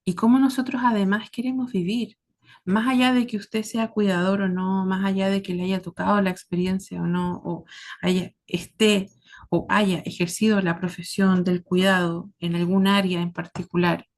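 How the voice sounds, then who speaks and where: Chilean lady talking reflectively to her listeners, medium pitch, earnest, pauses between little phrases. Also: little pitch movement. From southern Chile. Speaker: host of a series about caregiving. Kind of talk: reflective spoken monologue to listeners.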